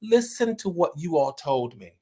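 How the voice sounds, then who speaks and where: A man talking earnestly to his viewers about writing and then reading one of his own poems aloud in a slow, measured way. He encourages his listeners and often repeats words while he searches for the right ones. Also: fairly high pitch, big pitch swings, dark tone, slightly rough. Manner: slow, measured recital when reading the poem. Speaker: poet and channel host.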